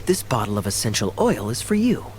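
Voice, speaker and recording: A man voicing a suave young captain in a video game, affectionate and courteous, with a gently theatrical manner. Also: lowish voice, big pitch swings, a little fast, slight background noise. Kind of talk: video game character acting. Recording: background music under the voice.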